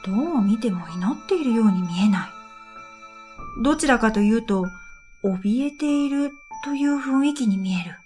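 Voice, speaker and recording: A woman with a fairly high voice narrates an audio drama in the first person. Her delivery is scripted, reflective and measured. Music plays behind her voice. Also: lively intonation, darkish tone. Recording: background music under the voice.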